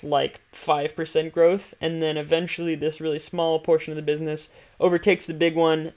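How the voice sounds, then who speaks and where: Casual, slightly high-pitched man explaining, creaky edges. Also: a little mellow. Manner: informal, hesitant, thinking aloud. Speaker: host of an investing stream. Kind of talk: casual unscripted explanation of company finances.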